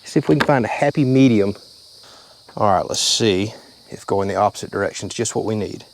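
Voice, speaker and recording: A man's voice: on the low side, brisk, a little hiss or hum, low-quality recording. A man, talking through a hands-on how-to in a casual, plain-spoken way from inside a vehicle. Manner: plain-spoken, step-by-step explaining. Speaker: channel host.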